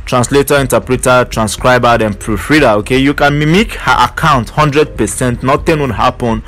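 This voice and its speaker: A man's voice: mid-range voice, normal speed, fairly bright tone. A man teaching an online earning method in a casual screen-share walkthrough, leaning on fillers like "basically" and "you know". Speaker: online money-making tutor.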